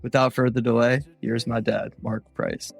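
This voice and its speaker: A man's voice: mid-range voice, level tone, normal speed, gravelly, faint music behind. A man reading a scripted podcast introduction. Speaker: show intro announcer.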